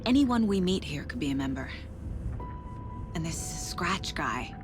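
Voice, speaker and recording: An actress playing a level-headed, businesslike federal investigator in a video game. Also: female voice, deep voice, sing-song, unhurried, over music. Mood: focused, resolute. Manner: businesslike, firm. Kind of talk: cinematic video game acting.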